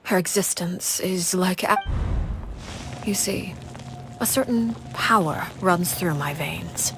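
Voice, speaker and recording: An actress playing a cold, composed female leader in a video game, speaking in a measured, formal and ominous way with a breathy, husky voice. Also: fairly low pitch, background noise. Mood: composed, reflective. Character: cold, composed woman crime-house leader called Father. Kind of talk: video game voice acting, storytelling dialogue.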